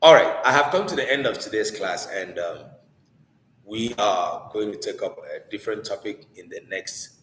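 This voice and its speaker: A man's voice: fairly low pitch, animated, moderate pace, a bit bright. A man with a Nigerian Igbo accent teaching a live online class informally, earnest and exhorting, posing rhetorical questions. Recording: echoey room with long reverb.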